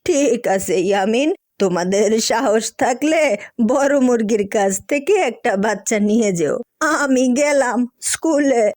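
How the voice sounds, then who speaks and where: Cartoon farm grandmother, woman performer: elderly, Bangladeshi accent, rustic, gently scolding, higher register. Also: measured pace, dry recording, clean studio sound, lightly acted. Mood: matter-of-fact. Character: folksy elderly grandmother who keeps a chicken farm. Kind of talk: cartoon dialogue.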